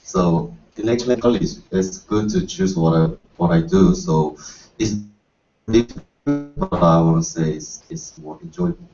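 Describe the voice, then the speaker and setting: A lowish man answers on a panel, repeating words as he thinks. He is heard from afar in an echoey room with dull sound. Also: sing-song, moderate pace, vocal fry, mellow, far from the mic, soft background music. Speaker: student panelist. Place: conference room.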